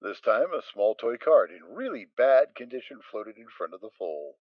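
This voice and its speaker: A man reads the narration with lively, swinging intonation. His voice sits in a middle register. The recording is dry and a little dull. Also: medium pitch, normal speed, no echo. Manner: expressive storytelling. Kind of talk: dramatic story narration.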